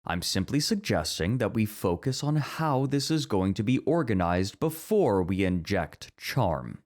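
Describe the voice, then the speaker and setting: A man acting in an ASMR roleplay as a strict, orderly academic teacher who is easily flustered, speaking in formal, measured lectures. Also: lowish voice, big pitch swings, a little mellow. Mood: flustered, defensive.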